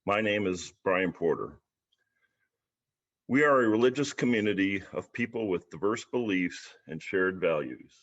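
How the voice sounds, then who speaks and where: A man hosting a service, giving a formal, somewhat halting welcome and announcements that sound partly read out. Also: low-pitched, expressive, a bit bright. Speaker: service host. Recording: dull lo-fi video-call audio.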